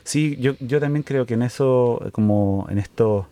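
A man, a co-host in a casual conversation, speaking in a measured way as he thinks aloud. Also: medium pitch, mellow.

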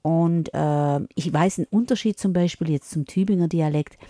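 A woman's voice: sits low, moderate pace, mellow, dry recording, studio quality. Chatty middle-aged woman with a Swabian accent. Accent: Upper Swabian. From Upper Swabia, Germany. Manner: chatty, hesitant with many fillers. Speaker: radio guest. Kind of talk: casual radio conversation.

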